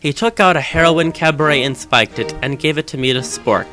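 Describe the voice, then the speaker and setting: A man's voice: fairly high pitch, fairly quick, sharp tone. A man reading fan fiction aloud as a dramatic narration, with music behind him.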